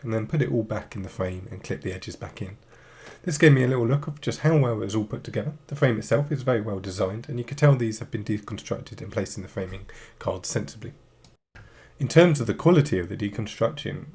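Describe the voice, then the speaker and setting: A man's voice: on the low side, a little mellow. A man in his thirties hosting a retro gaming channel, chatting enthusiastically through an unboxing while he has the flu. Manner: chatty, enthusiastic product talk. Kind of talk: unboxing and product overview.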